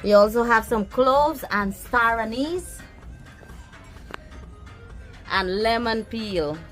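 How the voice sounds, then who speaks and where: Woman, Guyanese accent, cooking vlog, outdoors, slightly high voice, faint music. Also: expressive, slow pace, fairly bright tone. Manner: chatty, talks to viewers and pets. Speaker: cooking vlogger. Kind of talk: casual cooking demonstration. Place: backyard outdoors.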